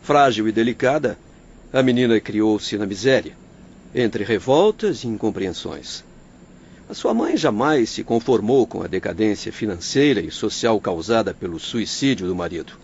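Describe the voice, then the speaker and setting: An actor playing a compassionate doctor who explains solemnly and oratorically in an audio drama. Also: male voice, lowish voice, lively intonation, normal speed, darkish tone. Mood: solemn explaining. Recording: dull lo-fi audio, faint noise.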